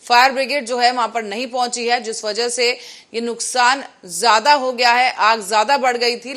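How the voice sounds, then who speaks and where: ऊँची आवाज़ वाली महिला समाचार एंकर. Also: female voice, fairly high pitch, a bit bright. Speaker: news anchor. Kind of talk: scripted news headlines narration.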